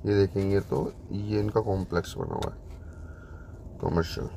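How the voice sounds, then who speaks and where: A man's voice: low voice, big pitch swings, moderate pace, gravelly, warm tone, slight background noise. A man giving a casual walkthrough commentary as a property consultant showing a site. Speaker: real estate consultant giving site updates.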